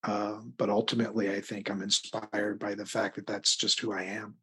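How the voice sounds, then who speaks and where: Husky low-voiced middle-aged man. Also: completely flat delivery, normal speed, bright, forceful tone. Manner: rambling, thinking aloud in broken phrases. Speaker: interview guest, crime novelist. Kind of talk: casual conversational interview answers.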